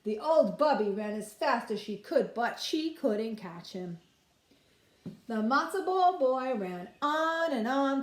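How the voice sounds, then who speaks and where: Expressive woman reading at children's storytime. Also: a little high. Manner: expressive, explaining simply to children. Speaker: nursery school teacher reading aloud. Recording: roomy, echoing room sound.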